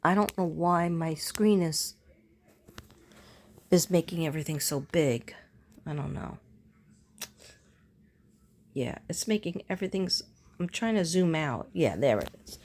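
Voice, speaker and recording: Slow-talking woman, casual and rambling. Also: on the low side, darkish tone. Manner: unhurried, meandering, thinking aloud. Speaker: psychic reader and vlogger. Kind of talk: casual rambling vlog talk to viewers.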